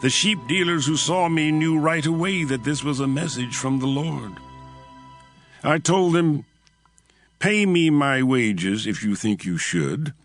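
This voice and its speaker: Guy as fiery bible prophet, declaiming slowly, pitch swings a lot, music behind him. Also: animated, fairly bright tone. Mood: resolute, indignant. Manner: dramatic, declamatory, emphatic. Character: impassioned male prophet narrating his visions. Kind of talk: dramatised scripture narration.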